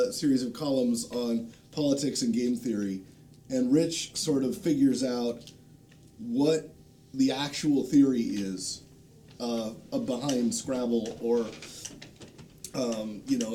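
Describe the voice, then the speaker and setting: Man, mellow tone, mid-range pitch, hesitant panel guest, conversational, frequent ums, faint rumbling noise. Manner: hesitant, halting delivery with frequent ums and long pauses. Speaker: guest, game designer. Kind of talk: casual panel chat, recommending video channels. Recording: low rumbling background noise.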